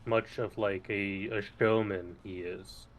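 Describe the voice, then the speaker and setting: A man's voice: on the low side, steady tone, slow. A man co-hosting a casual gaming talk show, making dry, blunt remarks. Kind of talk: casual chat.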